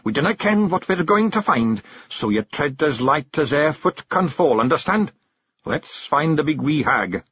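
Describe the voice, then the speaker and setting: A man voicing a small, fierce male pictsie clan leader with a gruff Scottish accent, blunt and commanding. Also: a little high, very animated, normal speed, no echo, muffled recording, pitched above the performer's own voice. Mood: wary.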